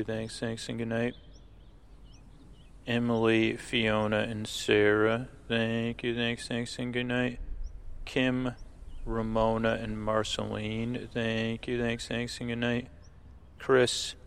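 A man with a creaky voice hosting a sleep podcast, giving low-key, rambling thanks and announcements to listeners. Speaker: podcast host.

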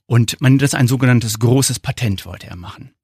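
Male interview guest, 50s, North German accent, mid-range voice, measured and explanatory, close dry sound. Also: mid-range voice, darkish tone, slightly rough, dry recording. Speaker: interview guest, foundation founder. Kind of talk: conversational interview answers.

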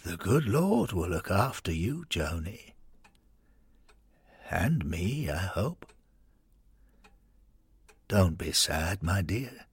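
A man doing a gentle, husky old doctor. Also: low voice, very animated, very slow, long pauses, dry recording, heavily acted. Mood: weak, tender. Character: older male doctor, kindly, frail at the end.

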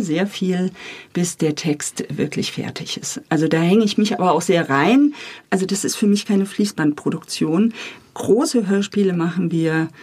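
A middle-aged woman with a slightly husky voice, talking in an engaged, explanatory way as an interview guest about her work. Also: lowish voice, a little mellow. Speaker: radio editor and radio drama author, interview guest. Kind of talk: lively explanatory interview talk.

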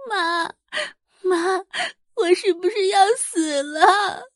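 A woman's voice: extremely high pitch, extremely slow, no room sound, studio quality, voice pushed up, heavily acted. A woman voicing a distraught, crying young woman in an audiobook drama. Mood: terrified, sobbing. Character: distraught young woman, sobbing over her ruined face.

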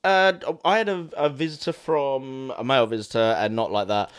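A man's voice: mid-range voice, normal speed, clean tone. A man with an Essex accent, rambling and laughing easily as he chats about a film on a podcast. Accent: Essex English. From Essex, England. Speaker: podcast co-host. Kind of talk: casual film chat.

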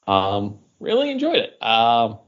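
A man's voice: medium pitch, wildly swooping pitch, slow pace. A man chatting casually and enthusiastically as a podcast host among friends. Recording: dull, lo-fi audio.

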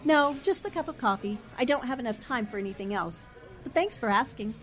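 Female reporter heroine for radio thriller actress: highish voice, very lively intonation, brisk, plucky, self-possessed. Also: faint music behind. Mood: polite. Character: composed, brave newspaperwoman in a radio thriller. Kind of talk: 1940s radio drama acting.

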